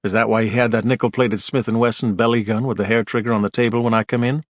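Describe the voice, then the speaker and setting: A man's voice: mid-range voice, level tone, fast, no echo, clean studio sound, lightly acted. A man voicing a blunt, plainspoken man who presses a witness with folksy, dogged questions.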